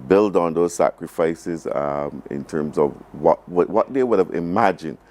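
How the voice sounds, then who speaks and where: A very deep male voice with a Barbadian accent, speaking as a guest in a broadcast interview. He feels his way through sentences and repeats key phrases for emphasis. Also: a little slow, darkish tone. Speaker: government program advisor, interview guest.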